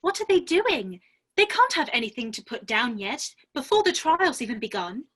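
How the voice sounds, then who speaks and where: A curious, outspoken young girl, played by a woman, with a high, bright voice that swings up and down. She is emphatic and easily indignant, in the manner of a storybook heroine. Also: big pitch swings, moderate pace, slightly rough, exaggerated voice. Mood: puzzled, scornful. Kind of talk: dramatic reading of a classic children's story.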